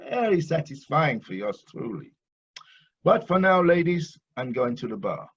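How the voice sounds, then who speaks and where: Vain Dutch painter, theatrical, grandiose, animated pitch, rough-edged voice, audio play. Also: male voice, normal speed, dull audio, full character voice. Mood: boastful. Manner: grandiose, self-satisfied, theatrical. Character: pompous, boastful old-master Dutch painter, touchy and proud.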